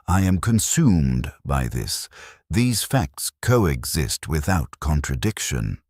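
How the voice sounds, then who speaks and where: A man performs a resigned, detached soldier-clerk from a grim sci-fi war story. His voice is extremely deep and husky, recorded very dry. He delivers terse, clinical sentences as if filing a log. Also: dry recording, heavily acted. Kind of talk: scripted first-person dramatic narration.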